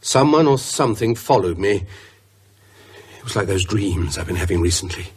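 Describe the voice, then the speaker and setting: An actor playing an anxious, haunted English professor who speaks hesitantly in a British radio horror drama. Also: male voice, low-pitched, animated, slightly rough, exaggerated voice. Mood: haunted, tense. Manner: hesitant, troubled, halting. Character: anxious, haunted male professor, fearing for his sanity.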